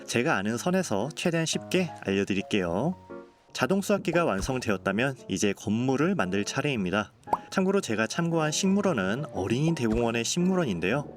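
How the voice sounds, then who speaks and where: Calm man narrating over bright music. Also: medium pitch, fairly quick. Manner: calm, polite explanatory tone. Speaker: game building vlogger. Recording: voice-over with clear background music.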